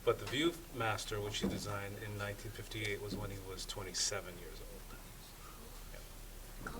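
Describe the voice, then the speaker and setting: A man's voice: lowish voice, steady tone, normal speed, over a noisy crowd. A man recounting someone's career as a panelist at a live event. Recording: distant mic, very loud background noise.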